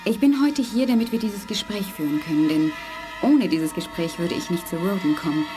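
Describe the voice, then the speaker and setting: A woman playing a grave, gentle and secretive eleven-year-old girl telepath in a radio play, speaking formally and earnestly. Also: on the low side, lively intonation, close to an everyday voice. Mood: composed. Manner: formal, measured, earnest phrasing. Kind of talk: 1980s German radio play acting. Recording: studio radio play with prominent music. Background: music.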